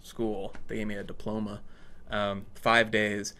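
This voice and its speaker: A man chatting casually and telling stories in an animated way, acting out what people said to him. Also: on the low side, unhurried. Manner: animated storytelling, acts out reported dialogue. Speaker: storyteller in conversation. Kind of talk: casual anecdotal chat.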